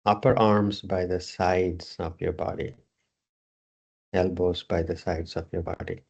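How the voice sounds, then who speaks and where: A yoga teacher with a low, husky, gravelly voice leads a guided relaxation. He speaks slowly and calmly in brief phrases, leaving the instructions simple. The man's recording is slightly dull and lo-fi. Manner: slow, calm, with spare short phrases.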